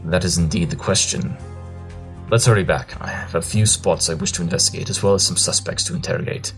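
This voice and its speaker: A man playing a pompous, clever pony detective in a cartoon fan drama, brisk and sure of himself. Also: deep voice, close to an everyday voice. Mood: deducing. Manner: pompous, brisk, self-assured. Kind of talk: animated fan drama acting. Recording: music under the voice.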